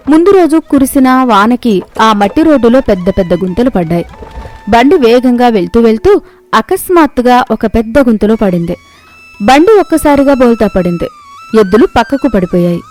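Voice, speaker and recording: A woman's voice: on the high side, animated, moderate pace, darkish tone, faint music behind. A woman reading scripted narration of a moral tale as a storyteller.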